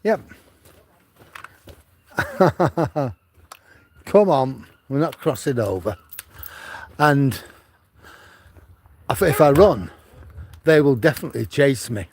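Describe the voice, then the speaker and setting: A man's voice: medium pitch, animated, takes it slowly, pauses often, husky. An older man from Manchester, retired, chatting casually while walking his dog outdoors on a seaside promenade and filming with a phone on a gimbal. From Manchester, England. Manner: chatty, rambling storytelling with frequent restarts. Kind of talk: casual walking livestream chat.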